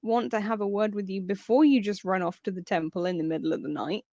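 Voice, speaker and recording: A woman playing a tabletop game, chatting halfway in character with a vague put-on European accent, halting and giggly. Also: mid-range voice, mellow, no room sound, dull audio, soft background music. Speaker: player. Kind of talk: tabletop roleplay, half in character.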